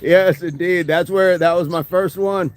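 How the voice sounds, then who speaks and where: Guy hosting a fitness livestream, kinda high voice, chatty, laughs a lot, reads out comments. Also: steady tone, measured pace. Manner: chatty, laughs often, thanks viewers by name. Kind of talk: casual livestream chat reading comments.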